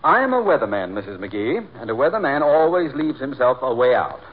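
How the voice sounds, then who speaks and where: An actor playing a timid, absent-minded weatherman with a halting, formal manner in a 1940s radio comedy. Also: mid-range voice, big pitch swings, lightly acted. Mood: dithering. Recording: tinny, noisy 1940s radio recording.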